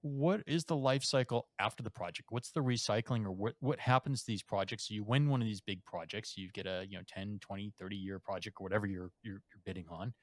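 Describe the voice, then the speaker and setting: A male podcast host with a low voice, recorded dry and close. He talks in a conversational way, thinking aloud with "um" as he builds up to his question. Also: fast, few pauses, no room sound. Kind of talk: podcast interview.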